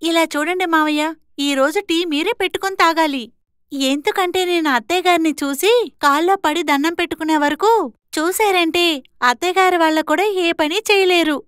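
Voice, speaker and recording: An extremely high female voice, recorded dry, playing a confident young woman as a voice actress does her. She is bold and assertive, in cartoon moral-story style. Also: fairly quick, a bit bright, no room sound. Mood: cheeky, defiant. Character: bold, educated young twin sister. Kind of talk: animated moral-story voice acting.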